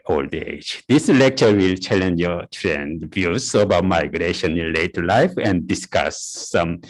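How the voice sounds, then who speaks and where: A middle-aged man with a Korean accent gives a conference lecture in a very low, deep voice. English is not his first language, and he often stops to repeat words and restart his sentences. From South Korea.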